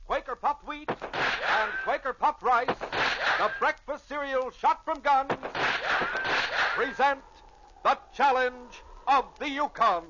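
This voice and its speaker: A man's voice: very high-pitched, slow. An American man announcing and narrating in an emphatic, declamatory old-time radio style, reading a dramatic script. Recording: dull vintage radio recording, faint hiss.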